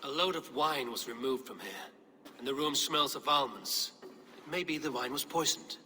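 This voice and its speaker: A stern Viking warrior for a cinematic video game. He speaks tersely and decisively at a middle pitch, with a measured, commanding delivery. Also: pushed and bright. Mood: focused, grave.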